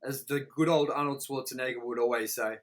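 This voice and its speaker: A man co-hosting a casual, comedic movie review, excitable and joking, with some swearing. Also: medium pitch, fairly quick. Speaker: movie review show host.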